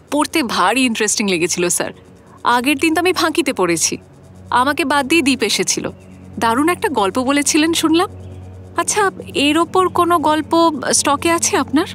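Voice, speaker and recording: High-voiced pert young woman. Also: fairly bright tone. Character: pert young woman, curious, teasing and easily piqued. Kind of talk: Bengali radio drama acting.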